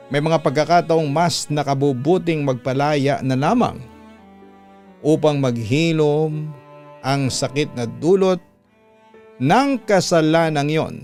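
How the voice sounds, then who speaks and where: A Filipino man with an announcer's delivery, narrating a dramatic story from a letter in a measured, earnest way. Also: on the high side, darkish tone. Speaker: radio announcer reading listener letters. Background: background music.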